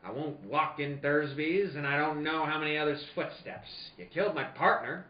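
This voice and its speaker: A man's voice: a little high, moderate pace, lightly acted. A man playing a tough, cynical private detective in a film-noir radio play, terse and sardonic. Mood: hard, resolute. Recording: roomy, distant, dull, faintly noisy recording.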